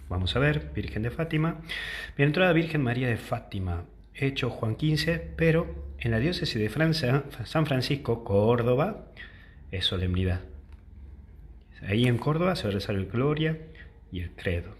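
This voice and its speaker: A man's voice: mid-range voice, lively intonation, normal speed, breathy edge. A man in his thirties with an Argentine accent, a priest explaining church teaching in a chatty, joking way to a phone held at arm's length. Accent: Argentine Spanish. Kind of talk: casual catechesis to camera. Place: outdoor courtyard, then indoors.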